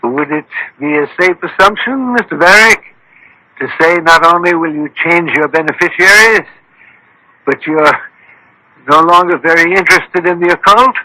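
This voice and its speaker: Radio mystery sleuth: brilliant, methodical, commanding; higher-pitched, very sharp forceful voice. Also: male voice, moderate pace, no room sound, low-quality recording. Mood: probing. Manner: precise, explanatory, commanding. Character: brilliant, methodical male scientist detective called the thinking machine. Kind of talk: radio mystery drama acting.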